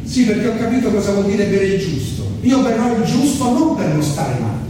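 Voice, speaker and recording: An actor playing a flamboyant, tipsy comic monologuist, declamatory and playful on stage. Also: male voice, high voice, very animated, fairly quick. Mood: tipsy, philosophical. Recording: distant echoey hall with noisy ambience.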